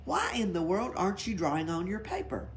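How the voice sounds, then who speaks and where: A woman reading a storybook, voicing a brisk, impatient female schoolteacher with an instructive manner. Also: mid-range voice, sing-song. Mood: patient, exasperated. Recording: background noise, library read-aloud.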